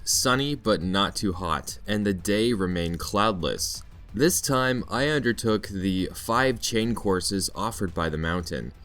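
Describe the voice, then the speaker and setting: A man's voice: lowish voice, a bit bright. A Canadian man narrating a scripted vlog in a playful storytelling way with mock-dramatic flourishes. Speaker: vlog narrator, teacher in Japan.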